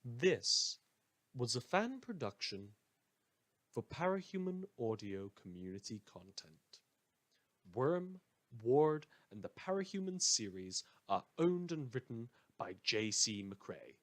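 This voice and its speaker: A man hosts with an informal, wry touch, reading credits and recommendations. His voice sits at an average male pitch and moves at a slow, unhurried pace. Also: very animated. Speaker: host and creator of a fan audio drama. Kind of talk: casual spoken credits and recommendations.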